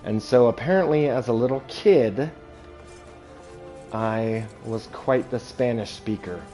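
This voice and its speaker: A man from Southern California, chatting calmly and reading chat aloud while streaming a video game. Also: medium pitch, unhurried, faint music behind. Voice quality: calm. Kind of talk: casual gameplay commentary.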